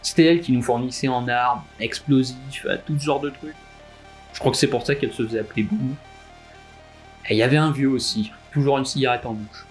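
A naive young crook in his twenties played by a man, with a mid-pitched voice over faint music. He talks nervously and informally, halting as he recounts things in an audio drama. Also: steady tone, close to an everyday voice. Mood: hesitant, confiding. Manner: hesitant, informal, stammering. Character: nervous, hesitant young male robbery suspect, naive rookie.

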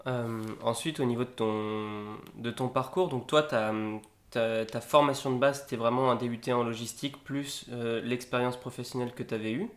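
A man interviewing a guest, asking long, halting questions with false starts. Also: medium pitch, steady tone. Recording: roomy echo.

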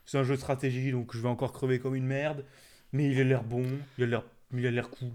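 A man's voice: mid-range voice, flat delivery, brisk, darkish tone. A man co-hosting a casual video game podcast, joking along in crude slang. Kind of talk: casual gaming chat.

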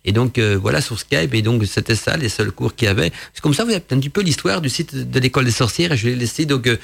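Gravelly man, halting French, native Dutch speaker. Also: mid-range voice, expressive. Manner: hesitant, many restarts and searches for words. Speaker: podcast host. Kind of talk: radio talk answering a listener question.

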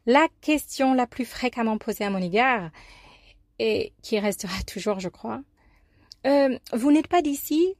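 A woman with an American accent and a fairly high voice delivers a personal radio column. She tells her story in an expressive, deliberate way. The sound is dry and close. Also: no room sound. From United States, New York. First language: English. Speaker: life coach presenting a radio column. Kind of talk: scripted personal talk, storytelling.

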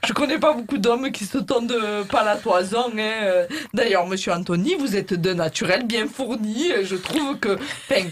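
A woman's voice: mid-range voice, moderate pace, hardly any pauses, natural voice. A woman playing a giggly, rambling beautician. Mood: chatty. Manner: rambling, lots of fillers, cheeky jokes, giggly. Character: chatty bawdy woman beautician, former hairdresser. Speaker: interviewee. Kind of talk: comedy sketch, mock interview.

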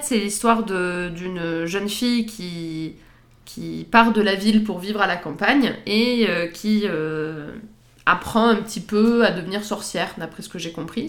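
A woman co-hosting a podcast, chatting casually through pop-culture references with frequent fillers and easy laughs. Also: medium pitch. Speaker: podcast co-host.

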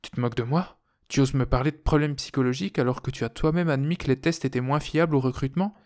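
A man's voice: mid-range voice, quick pace, a little mellow, natural voice. An angry female astronaut in a science fiction audiobook, voiced by a man. She is distrustful and indignant, and her way of talking is accusing and full of questions. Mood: furious.

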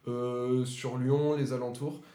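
Mellow-voiced podcast host in an echoey room. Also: male voice, medium pitch, flat delivery, slow. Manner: informal questioning with fillers and slang. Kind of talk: casual podcast interview.

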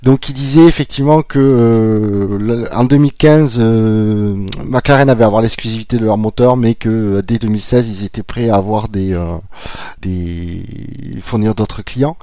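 A man chatting casually as a podcast co-host. He is relaxed, laughs easily and trails off mid-thought. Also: on the low side, moderate pace, dry recording. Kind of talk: casual motorsport chat.

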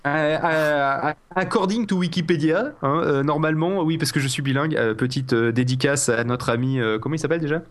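A man hosting a casual comedy podcast, rambling and joking with many fillers, his voice a little rough from a slight cold. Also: on the high side, a little fast, hardly any pauses. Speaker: podcast host.

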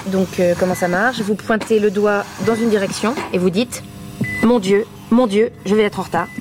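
An actress playing a brisk, chatty woman scientist giving a technical briefing in an audio drama. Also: medium pitch, steady tone, noisy background, natural voice. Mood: matter-of-fact.

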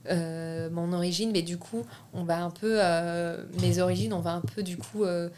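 A woman's voice: fairly low pitch, steady tone, moderate pace, darkish tone, a little hiss or hum. A young woman from France, a filmmaker being interviewed, talking casually with many hesitations and restarts. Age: young adult. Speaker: filmmaker guest.

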